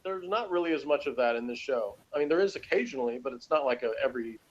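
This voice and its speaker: Male reviewer, conversational and argumentative, mid-pitched voice on a dull, low-fidelity recording. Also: animated, fast talker. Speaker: podcast reviewer.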